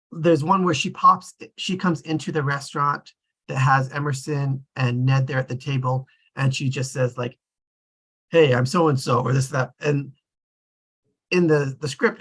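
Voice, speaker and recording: Casual man with a slightly husky voice. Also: a little high. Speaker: discussion host. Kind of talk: casual group discussion of a script.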